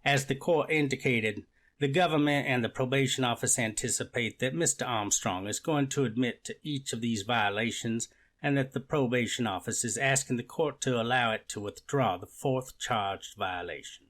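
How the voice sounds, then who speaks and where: Man playing a formal, mid-pitched court official. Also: fairly bright tone, natural voice. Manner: measured, formal, methodical. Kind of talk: amateur readers theater, scripted legal reading.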